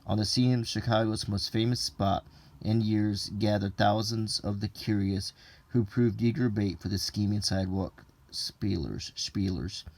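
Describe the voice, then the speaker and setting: A man reading an old printed text aloud in an ASMR style, unhurried and low-key, with casual offhand asides. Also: steady tone, vocal fry, low-quality recording.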